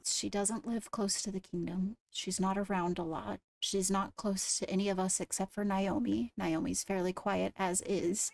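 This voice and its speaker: A guarded young royal played by a woman in a game roleplay, with a gravelly fry in a mid-pitched voice. She reasons aloud carefully and deliberately, hedging as she weighs each suspect. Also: fairly even intonation, darkish tone, slight background noise, natural voice. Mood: serious, deliberating. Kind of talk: improvised Minecraft roleplay.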